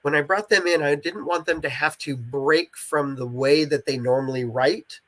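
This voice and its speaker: A man with a slightly higher-than-average voice chats in an interview as a guest creator. He talks at length and explains things with emphatic repetition. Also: moderate pace, fairly bright tone. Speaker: interviewed writer and creator of interactive audio dramas. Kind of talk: casual interview chat.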